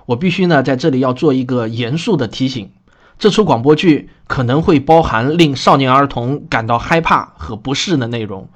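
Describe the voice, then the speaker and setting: Man, podcast host, medium pitch, earnest explanatory monologue, deliberate delivery. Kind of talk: spoken introduction, explanatory monologue.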